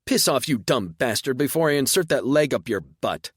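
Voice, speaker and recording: A man's voice: medium pitch, very animated, moderate pace, no echo, clean studio sound, voice pushed up. A man voicing a snappish, foul-mouthed goblin girl who scolds and pesters. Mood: snapping. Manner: sharp, scolding.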